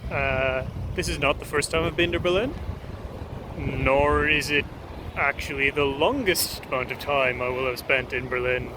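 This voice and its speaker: A man's voice: mid-range voice, normal speed. A man with an Irish accent rambling hesitantly through a casual travel vlog outdoors on a city street. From Ireland. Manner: rambling, hesitant, many ums. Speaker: vlogger. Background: urban street noise.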